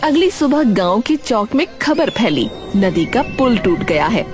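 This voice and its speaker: A woman narrates a scripted story in a high voice. Her pitch moves in a lively way, and light music plays behind her. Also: a little slow.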